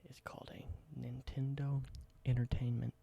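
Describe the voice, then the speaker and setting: A man who makes ASMR videos, doing a whispered roleplay and slipping between a soft whisper and a low, unhurried speaking voice. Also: level tone, vocal fry, dull audio. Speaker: ASMR creator. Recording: noticeable background hiss.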